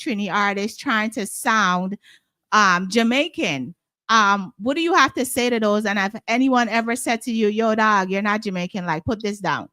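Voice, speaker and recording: A woman's voice: mid-range voice, pushed and bright, smooth, dry recording. A woman hosting a casual interview, encouraging her guest and often repeating that she likes the answer. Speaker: interview host. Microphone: video call.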